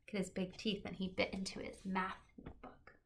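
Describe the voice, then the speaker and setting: A woman's voice: mid-range voice, monotone, brisk, gravelly, a little hiss or hum. A woman teacher reading a children's nonfiction picture book aloud, expressively stressing key words and adding short explanatory asides.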